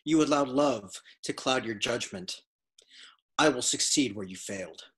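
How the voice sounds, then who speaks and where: A young dark warrior, acted by a man, brooding and solemn in a space-opera script reading. The voice is husky, mid-pitched and full of grim resolve. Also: animated, measured pace. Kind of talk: fan table read of a film script.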